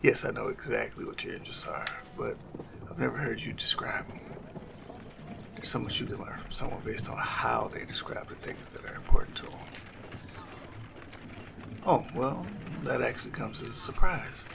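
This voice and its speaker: A man playing a suave, cunning assassin in love, speaking softly and formally in a romantic audio roleplay with a gravelly creak. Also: mid-range voice, lively intonation, moderate pace, pauses often, background noise. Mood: playful. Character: lovestruck male assassin, suave, cunning, tenderly courting a noble. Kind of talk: romantic audio roleplay acting addressed to the listener.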